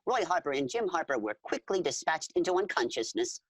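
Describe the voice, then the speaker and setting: A woman playing an eager alien storyteller who narrates adventure formally and dramatically, with a husky voice and spoken sound effects. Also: sits low, big pitch swings, fairly quick, dry recording, exaggerated voice. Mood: dramatic. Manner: formal, repeats full names instead of pronouns.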